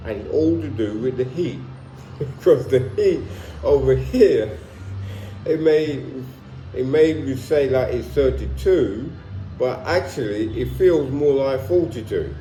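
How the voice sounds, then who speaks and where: A man's voice: mid-range voice, takes it slowly, echoey room, soft background music, poor audio. A man in his sixties with a London accent talking casually to camera in a vlog, rambling with many restarts. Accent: London English. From London, England. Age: 60s. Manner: rambling, stops and restarts, relaxed. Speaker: vlogger. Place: warm room at home, in Colombia.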